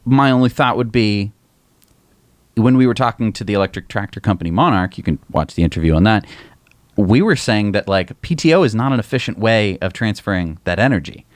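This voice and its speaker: A man's voice: fairly low pitch, expressive, brisk, no echo. A man co-hosting a podcast, agreeable and thoughtful in casual chat. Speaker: podcast co-host.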